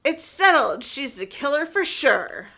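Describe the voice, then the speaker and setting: High, bright, pushy teenage girl played by a woman. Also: very animated, measured pace, heavily acted. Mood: excited, insistent. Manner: loud, insistent, emphatic. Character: excitable, pushy teenage girl jumping to conclusions. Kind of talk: scripted visual novel voice acting.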